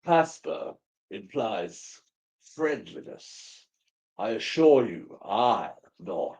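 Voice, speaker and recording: A man's voice: on the low side, big pitch swings, very slow, darkish tone, husky, exaggerated voice. A man playing a proud, brooding Regency ghost in a paranormal romance reading, formal, theatrical and menacing. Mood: cold, brooding. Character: brooding, proud male Regency ghost, darkly amused seducer.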